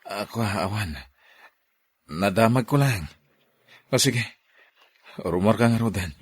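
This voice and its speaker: A man's voice: fairly low pitch, takes it slowly, husky. A man playing a needy, coaxing husband in an audio drama, with an Ilocano accent. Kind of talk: dramatized radio-style story acting.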